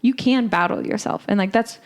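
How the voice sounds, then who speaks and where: A young adult woman co-hosting a podcast, talking in a reflective, halting way with frequent filler words. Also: on the high side. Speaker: podcast co-host. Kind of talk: casual chat.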